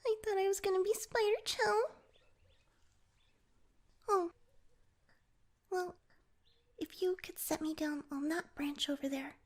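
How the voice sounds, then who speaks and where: Fantasy ASMR roleplay role: little pixie played by a woman, extremely high voice, flustered and stammering, proud, wary of humans. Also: steady tone, slow pace, long pauses. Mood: relieved, explaining.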